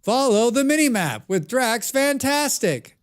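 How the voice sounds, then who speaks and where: A man's voice: extremely high pitch, big pitch swings, unhurried, clean tone. An American man chatting casually with his viewers while playing a game on a live stream, reading and answering their comments. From United States.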